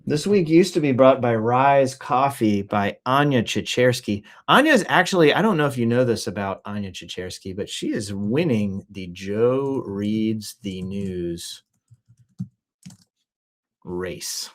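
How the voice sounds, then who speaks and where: A middle-aged man hosting a livestream, talking casually and jokily in an animated, rambling, off-the-cuff way with rhetorical questions. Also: medium pitch, measured pace. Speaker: host of a livestream news show. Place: office.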